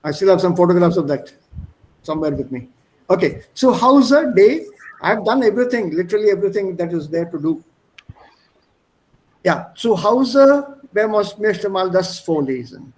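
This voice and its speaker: A man's voice: high voice, animated, normal speed, a little mellow, poor audio. A man teaching German in an online class, explaining words and switching between German and English. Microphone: video call.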